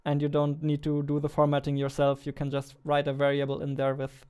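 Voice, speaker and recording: A young man talks casually as he streams his game development work. His voice is fairly high for a man and quite smooth, with little rise or fall in pitch. He thinks aloud haltingly, often half to himself. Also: monotone, a little mellow. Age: 20s. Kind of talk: casual livestream chat while working. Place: indoor room at a computer, keyboard clicks behind.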